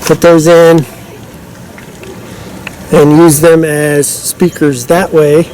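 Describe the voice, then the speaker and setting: Casting: male product demonstrator, slightly high voice, informal and off the cuff, some background noise. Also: level tone, slow, a little mellow, low-quality recording. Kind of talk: casual unscripted product demonstration.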